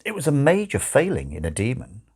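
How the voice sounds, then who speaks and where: A man narrating a comic novel as an audiobook, with wry, expressive storytelling. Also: medium pitch, fairly quick, slightly rough. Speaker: audiobook reader.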